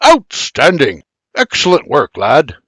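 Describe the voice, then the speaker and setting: A gruff old Scotsman, stubborn and jolly, lectures in a thick Scottish accent, his voice lifted high and swinging widely as he blusters, as a man puts him on for the story. He rolls out Scots words in loud declarations. The sound is dry and close. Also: wildly swooping pitch, takes it slowly, dry recording, full character voice. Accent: Scottish, as played.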